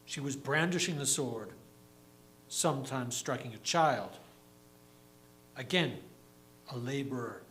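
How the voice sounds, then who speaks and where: A man reading a theatrical verse monologue as a dead, defiant newspaper publisher, in solemn, measured phrases. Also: mid-range voice, a bit bright, slight background noise, rough recording. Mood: grave, haunted. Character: dead, defiant male newspaper publisher recounting a grim vision.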